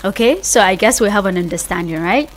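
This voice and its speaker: A woman's voice: medium pitch, expressive, brisk. A woman with a Nigerian accent presenting live radio in a chatty, informal way, repeating herself for emphasis as she explains things step by step. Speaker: radio host. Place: radio studio.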